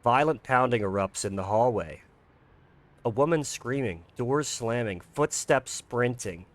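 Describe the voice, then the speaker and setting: A man's voice: medium pitch, lively intonation, measured pace. A man reading dramatic scripted narration for a horror visual novel. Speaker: narrator and creator.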